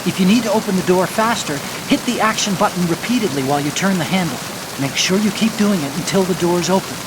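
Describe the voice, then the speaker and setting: A man's voice: sits high, expressive, fast. A man voicing an earnest, brainy American support partner in a video game, speaking precisely and instructively. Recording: noisy game audio with rain.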